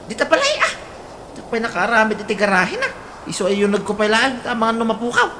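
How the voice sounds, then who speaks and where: A woman's voice: on the high side, very animated, fast, pushed and bright, slight background noise. A woman with an Ilocano accent playing a proud, fussy older uncle in a radio drama, with a laughing, swooping delivery. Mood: bantering.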